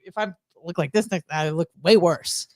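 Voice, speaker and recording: A young woman chatting casually and telling gossipy stories in an animated, blunt, teasing way, acting out dialogue as she goes. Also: fairly low pitch, moderate pace, dry recording, clean studio sound. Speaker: radio show co-host. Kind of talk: casual chat, gossipy storytelling.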